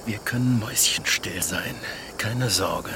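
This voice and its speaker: A man's voice: on the low side, measured pace, vocal fry, background noise. A man playing a hard, suspicious outlaw captain in a German radio drama, curt, commanding and threatening. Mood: wary, calculating. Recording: music behind the voice.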